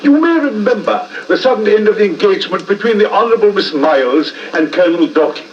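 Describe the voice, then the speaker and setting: An actor playing a smooth, cold, smug Victorian blackmailer with an English accent and mock-courteous manner in a 1950s radio drama. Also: male voice, high voice, expressive, fast, recorded from a distance. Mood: mocking, menacing. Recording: muffled, hissy 1950s radio recording.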